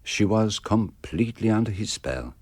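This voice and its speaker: An actor playing a shrewd, self-assured detective in a radio mystery, explaining things in a measured, deliberate way. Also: male voice, fairly low pitch, lively intonation, darkish tone, slightly rough, dry recording. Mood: grave, knowing. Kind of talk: radio mystery drama acting.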